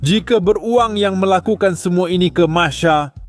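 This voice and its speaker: A man's voice: high voice, normal speed, soft background music. A man giving comic, sarcastic scripted narration with an exaggerated ranting delivery.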